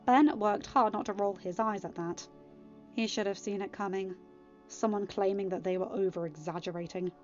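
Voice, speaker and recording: A woman's voice: mid-range voice, steady tone, moderate pace. A woman reading a story aloud as scripted narration. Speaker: fan fiction reader. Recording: dull lo-fi audio with music. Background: music.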